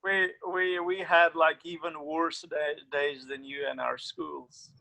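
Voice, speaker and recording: Brief: hesitant young student, fairly high voice, dry close sound. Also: male voice, flat delivery, slow pace, gravelly, pushed and bright, dry recording, rough recording. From Afghanistan. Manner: hesitant, many fillers and restarts. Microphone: computer mic on a video call.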